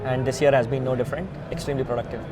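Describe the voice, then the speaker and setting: A man's voice: fairly even intonation, rapid-fire. A man from India with an Indian accent, a founder being interviewed, explaining emphatically step by step in earnest. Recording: noisy outdoor ambience with traffic rumble.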